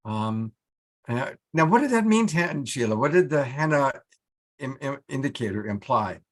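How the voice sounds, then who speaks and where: A man's voice: medium pitch, lively intonation, normal speed. A man hosting a casual live show over a video call, enthusiastic and joking as he chats. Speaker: show host.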